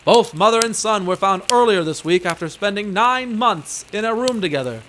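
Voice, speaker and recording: Man, old-time radio narrator, high voice, scripted announcer delivery, noisy clicking background. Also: lively intonation, moderate pace, fairly bright tone.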